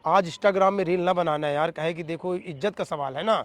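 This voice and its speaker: A man playing an eager, insecure young bridegroom in a comedy phone-call skit, sweet-talking haltingly. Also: a little high, no echo, close to an everyday voice. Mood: fussy, pleading. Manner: hesitant, repetitive, coaxing.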